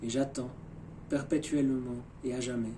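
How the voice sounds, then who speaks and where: A man recites poetry in a deliberate, incantatory manner, moving between hushed and emphatic phrases. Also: mid-range voice, steady tone, background noise.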